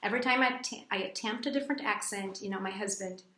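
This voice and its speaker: Woman, Brazilian Portuguese accent, English as a second language, bright voice, chatty with many restarts, roomy echo. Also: fast. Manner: chatty, full of fillers and restarts, bursts into high excited quotes. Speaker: English teacher and vlogger. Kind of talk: casual chat to camera.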